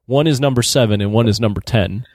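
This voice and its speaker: A man's voice: medium pitch, fast, darkish tone, dry recording. A man in his thirties co-hosting a podcast, talking casually about films. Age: 30s. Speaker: podcast co-host. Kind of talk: casual film discussion.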